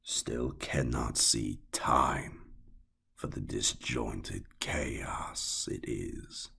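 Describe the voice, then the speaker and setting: Man voicing a slow, gravelly, whispery inhuman god. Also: extremely low pitch, sharp tone, voice pushed down, exaggerated voice. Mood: mocking. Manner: slow, drawn-out, mockingly genteel. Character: suave inhuman male god in handsome human form, mocking. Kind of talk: audiobook villain acting.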